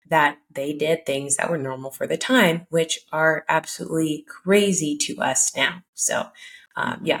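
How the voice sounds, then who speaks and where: A woman from Cameroon hosting a podcast interview, speaking in a measured, thoughtful way with frequent pauses. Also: low-pitched. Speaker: podcast host. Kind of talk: casual conversational interview.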